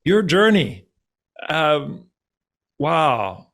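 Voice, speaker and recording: A man's voice: a little high, sing-song, vocal fry, a bit bright. A man hosting a podcast interview over a call, talking casually with lots of fillers and restarts. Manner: halting, many fillers and restarts. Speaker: podcast host.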